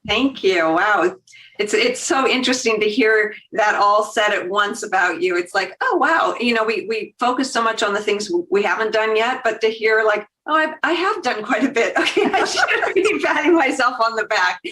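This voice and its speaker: A woman's voice: a little high, expressive, normal speed, pushed and bright. A woman in her fifties, a podcast guest talking casually and animatedly, laughing easily and voicing her own thoughts as quotes. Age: 50s. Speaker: guest, agency founder. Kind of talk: casual conversation.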